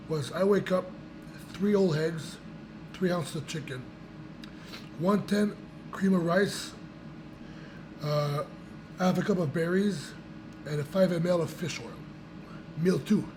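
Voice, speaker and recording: Raspy-voiced man vlogging through a cold. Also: on the high side, noisy background. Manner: rambling, with restarts and fillers. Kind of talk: casual chat to camera.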